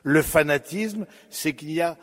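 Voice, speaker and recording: A man preaching a sermon, slow and reflective, addressing his listeners directly, with pauses and fillers. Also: fairly high pitch, in a large hall.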